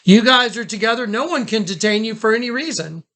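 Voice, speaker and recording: A man's voice: high-pitched, lively intonation, brisk, pushed and bright. A man talking casually on a recorded phone call, urgent and insistent, often repeating his instructions.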